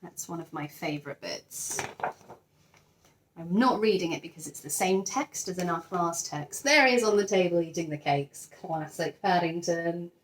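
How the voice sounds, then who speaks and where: A woman teacher sharing a children's picture book, expressive and enthusiastic, with chatty asides. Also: fairly low pitch, moderate pace, a little hiss or hum, rough recording. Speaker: teacher reading to pupils. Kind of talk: children's storybook read-aloud with chatty asides.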